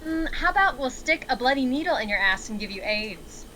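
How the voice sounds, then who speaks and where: Flippant henchwoman, played by a woman at a read-through, highish sharp voice, faint background noise. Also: expressive, a little fast, poor audio. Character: flippant, foul-mouthed criminal henchwoman, biology student. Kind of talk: screenplay table reading.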